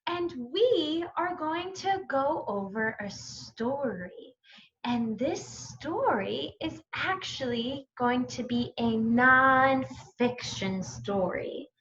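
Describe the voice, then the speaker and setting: A woman's voice: sits high, expressive, takes it slowly, a bit bright, lo-fi sound, a little hiss or hum. A woman teacher leading a children's story time, speaking in simple phrases with questions and prompts for young listeners.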